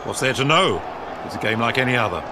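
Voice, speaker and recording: Man acting a gruff, animated wartime official. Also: medium pitch, fast, close to an everyday voice. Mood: gruff, opinionated. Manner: clipped, authoritative, dry. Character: gruff, opinionated senior government propaganda official. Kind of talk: period audio drama acting. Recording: outdoor sports crowd and noise behind.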